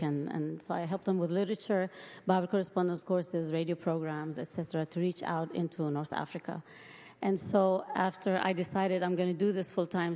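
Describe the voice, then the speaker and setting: A woman's voice: lowish voice, warm tone. A middle-aged woman, a missionary guest, giving a personal testimony in an interview in a church hall. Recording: reverberant large hall.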